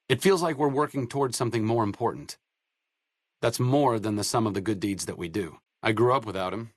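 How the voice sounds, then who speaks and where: A low-voiced, wry young idealist doctor. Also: male voice, no room sound. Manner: dry sarcasm, articulate, conversational. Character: idealistic, self-deprecating young male wasteland doctor, wry and earnest. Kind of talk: video game dialogue acting.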